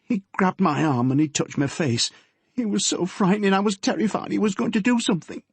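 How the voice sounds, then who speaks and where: A man putting on a frightened teenage girl whose voice rides well above his own, shaky and pleading. The tone stays husky and close, with a dry, deadened sound and no room around it. It suits an audiobook scene of a girl confiding in her father. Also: a little high, animated, moderate pace, a little mellow, dry recording, voice pushed up. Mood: anxious. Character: frightened tearful teenage girl, a policeman's daughter.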